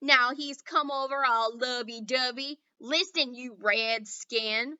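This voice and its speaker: A woman's voice: fairly high pitch, smooth, lo-fi sound, heavily acted. A woman doing a sarcastic drawling tiny cowboy. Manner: slow, sarcastic, shouting drawl.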